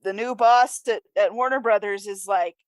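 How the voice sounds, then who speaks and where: A woman's voice: mid-range voice, moderate pace, dry recording, low-quality recording. A woman discussing films casually as a podcast panel host, chatty and prone to acting out imagined quotes.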